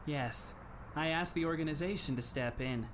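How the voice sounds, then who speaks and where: A man in an anime fan dub playing a polite, composed teenage schoolboy who speaks in formal, measured phrases with a faintly wry touch. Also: fairly high pitch, background noise, natural voice. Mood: calm, grave.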